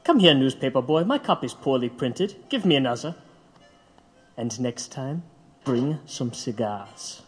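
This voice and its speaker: A man playing a commanding, defiant martyr of a prisoner in an audio drama, speaking imperiously and deliberately. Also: mid-range voice, animated, slightly rough. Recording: reverberant, faint music behind.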